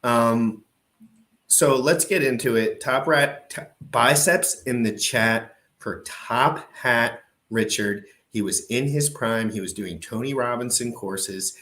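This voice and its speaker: A man giving brash, profane, excitable commentary while reacting to an old crypto video. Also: mid-range voice, slow.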